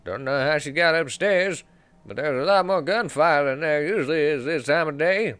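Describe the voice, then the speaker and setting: A man voicing a wary old man in a slum who gossips in a folksy, gruff way. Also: fairly high pitch, normal speed, fairly bright tone, no room sound, close to an everyday voice.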